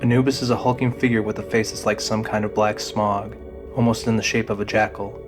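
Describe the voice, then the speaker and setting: Male narrator for a scripted audio drama, lower-pitched, reading prose with a prominent music bed underneath. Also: little pitch movement, a little fast.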